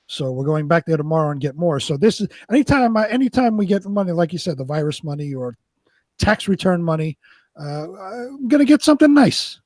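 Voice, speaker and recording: A man with a slightly high voice, recorded very dry, talks casually as a show co-host. He rambles through his stories, pausing and doubling back on his words. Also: very animated, darkish tone, dry recording. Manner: rambling, halting storyteller. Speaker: co-host of a live online show. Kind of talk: casual chat.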